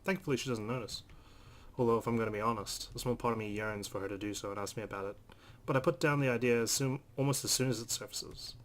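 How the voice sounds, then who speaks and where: A man's voice: mid-range voice, fast talker. A man hosting a let's play, reading visual novel narration aloud with brief asides. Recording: clear background noise.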